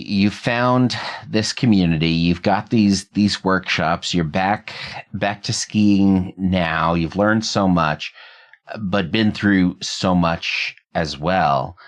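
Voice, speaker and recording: A man hosting a podcast in a conversational interviewing and commentary style. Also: low-pitched. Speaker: podcast host.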